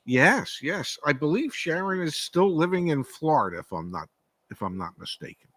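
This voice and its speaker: An older man co-hosting a casual live stream, talking in a measured, hesitant way with many ums and restarts. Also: fairly high pitch, big pitch swings, fairly bright tone. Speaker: podcast co-host.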